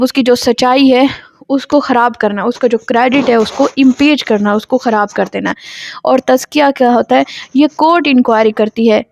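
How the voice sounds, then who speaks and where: A muffled, lo-fi recording of a Pakistani woman with a fairly high voice. She delivers an academic lecture in a measured, instructional way, reading formal text and explaining it. The sound is quite dry, with little room around her. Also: a little mellow, no room sound. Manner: slow, deliberate English reading alternating with quicker Urdu explanation. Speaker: law lecturer. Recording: muffled, dull lo-fi audio.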